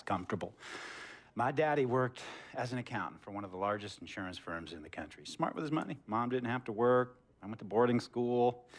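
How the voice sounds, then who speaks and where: An actor playing a wealthy, smooth-talking male tech mogul who tells rambling stories in a game cutscene. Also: fairly low pitch, close to an everyday voice. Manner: rambling, theatrical storytelling. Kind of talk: video game cutscene dialogue.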